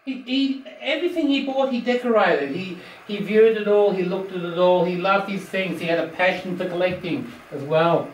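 A man's voice: sits high, moderate pace, few pauses. Enthusiastic older Australian man, echoey house. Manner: enthusiastic, rambling, self-joking. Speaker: auctioneer. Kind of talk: casual walkthrough chat. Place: large house, indoors. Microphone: handheld phone camera. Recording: echoey rooms, faint noise.

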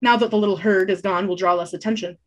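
A woman's voice: mid-range voice, steady tone, fast, muffled recording, close to an everyday voice. Woman doing a weary older man, matter-of-fact. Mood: practical. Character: older male guide, practical and weary.

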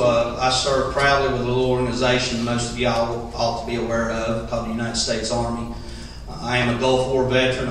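A man in his forties with a Western North Carolina mountain accent, giving a plainspoken, folksy introduction as a political candidate. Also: mid-range voice. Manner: plainspoken, folksy, steady and level delivery. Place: large library meeting room, indoors. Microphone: table mic, recorded from a distant camera. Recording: echoey hall, distant mic, room noise.

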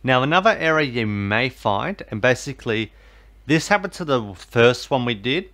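A man explains a TV box reset in a loose, rambling way. His voice sits at an ordinary middle pitch, and he keeps circling back with fillers and restarts. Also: animated, normal speed, fairly bright tone. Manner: rambling, filler-heavy, repeats "basically" and "obviously". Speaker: tech tutorial host. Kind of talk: casual how-to voice-over.

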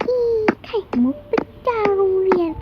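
A young-sounding performer playing a vain, jealous schoolgirl in an amateur animated game skit, exclaiming dramatically. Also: normal speed, highs cut off, heavy background noise, close to an everyday voice. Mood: excited, jealous. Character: vain, jealous schoolgirl scheming against a rival classmate. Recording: loud music over voice. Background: loud music.

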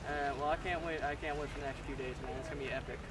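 A man's voice: a little high, fairly even intonation, racing, rough recording. A man from the Atlanta area chatting in a laid-back way at a music festival, calling people "dude" and "man.". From Atlanta area, Georgia. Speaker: festival-goer. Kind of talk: casual chat. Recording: crowd noise.

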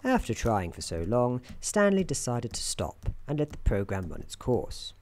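A soft-spoken man giving a scripted ASMR roleplay narration in a dryly wry, deliberate storybook manner. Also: mid-range voice, wildly swooping pitch. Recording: keyboard typing and clicking noise. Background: keyboard typing, clicks.

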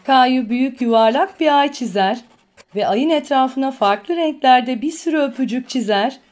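Female narrator, high voice, children's storybook narration, simple storytelling, soft music behind. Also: lively intonation, moderate pace.

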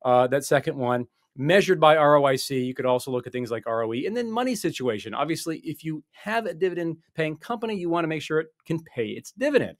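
A man's voice: a little high, animated, quick pace, few pauses, no room sound, studio quality. A man in his forties, a guest on a video call, explaining investing with many restarts and fillers. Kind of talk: conversational interview. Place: small office with wall panels. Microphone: desk microphone on video call.

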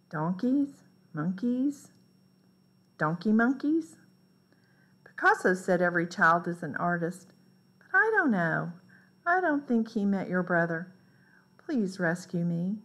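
A woman reading a children's picture book aloud in a deliberate, expressive way. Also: lowish voice. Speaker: read-aloud storyteller.

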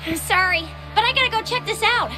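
Very high-pitched, cheery young patroller. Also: female voice, quick pace. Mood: businesslike, urgent. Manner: upbeat, friendly, exclamatory. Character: cheery, outgoing young woman patroller, eager to help. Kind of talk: video game voice acting. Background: game music.

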